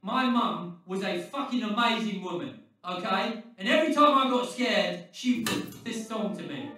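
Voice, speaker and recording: An actor playing a manic, foul-mouthed British criminal with an erratic, volatile way of talking in a video game. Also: male voice, high voice, expressive, normal speed, pushed and bright. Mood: coaxing. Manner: sweary, erratic, swinging from soothing to ranting. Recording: echoey, distant, music behind.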